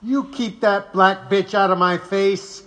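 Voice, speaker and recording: An actor playing a blustering, contemptuous 1880s city mayor in an audio drama. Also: male voice, high-pitched, steady tone, slow, fairly bright tone. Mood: scornful. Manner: blustering, scornful.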